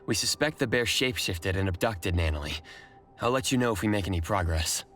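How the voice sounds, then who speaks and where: A man's voice: deep voice, lively intonation, a little fast, a bit bright. A man playing an earnest, protective investigator in an anime-style video game. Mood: focused, serious. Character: earnest male investigator, protective, indignant at villains. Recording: music clearly behind the voice.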